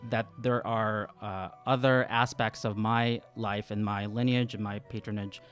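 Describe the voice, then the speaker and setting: A man improvising his character's story as a player in a tabletop game, hesitant and halting as he thinks aloud. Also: fairly low pitch, steady tone, a bit bright, faint music behind. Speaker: tabletop role-playing player.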